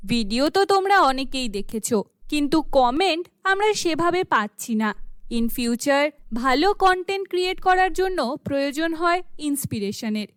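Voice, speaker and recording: A woman's voice: sits high, expressive, normal speed, no room sound. A woman narrator reading a scripted horror cartoon story.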